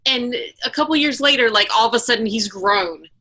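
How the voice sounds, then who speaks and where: A woman's voice: fairly high pitch, a little fast, pushed and bright. A woman co-hosting a podcast, chatting casually with the others. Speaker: podcast co-host. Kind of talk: casual panel chat.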